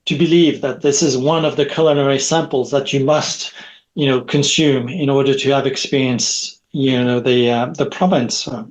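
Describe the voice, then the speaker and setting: A man's voice: mid-range voice, fairly even intonation, normal speed, low-quality recording. A middle-aged man whose first language is French, speaking as a guest in a podcast interview over a video call, rambling and repeating words, often adding a questioning "right?". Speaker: guest, technology expert.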